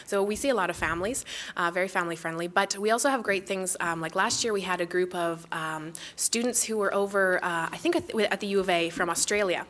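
A Canadian woman with a slightly low voice talks in a casual interview. She sounds enthusiastic and chatty, like an event organizer explaining what is on offer. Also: brisk, fairly bright tone. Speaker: festival organizer being interviewed.

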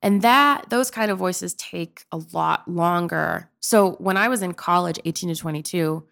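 Chatty young woman with vocal fry. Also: lowish voice, unhurried, a bit bright. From Pennsylvania. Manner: chatty and rambling, with many filler likes. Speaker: guest, opera-trained improv performer. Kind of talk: casual interview chat.

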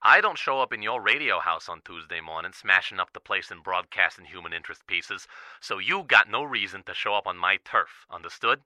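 A man's voice: low-pitched, lively intonation, fairly quick, pushed and bright, no room sound, exaggerated voice, poor audio. An actor playing a menacing gangster leaving a threatening message in old-time tough-guy slang. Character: menacing gangster-type man, old-time mobster talk. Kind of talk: audio drama recorded message.

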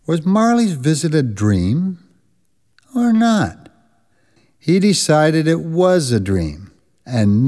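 A man narrating a radio drama as a storyteller, measured and expressive. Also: a little high. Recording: music bed behind narration.

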